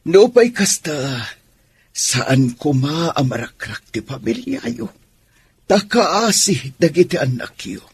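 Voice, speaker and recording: Very raspy, slow-talking elderly grandmother. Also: a little high, big pitch swings, heavily acted. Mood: concerned. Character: elderly concerned grandmother, chiding her married daughter. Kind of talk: radio drama acting.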